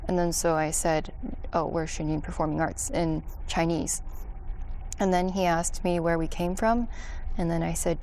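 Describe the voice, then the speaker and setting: A woman's voice: lowish voice, a little mellow, slight background noise. A young woman telling her story in an interview, hesitant and full of "like" fillers. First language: English. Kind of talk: personal account in interview.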